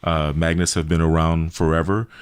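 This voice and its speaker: Very deep-voiced man, halting delivery. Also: flat delivery, smooth, no room sound, clean studio sound. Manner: halting, hesitant phrasing with repeated words. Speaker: radio show host and health practitioner. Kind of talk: explanatory radio interview talk.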